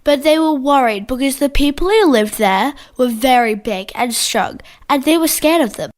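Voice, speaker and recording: Australian female co-narrator, somewhat high-pitched, scripted kids' storytelling. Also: expressive, moderate pace. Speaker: children's Bible story co-narrator.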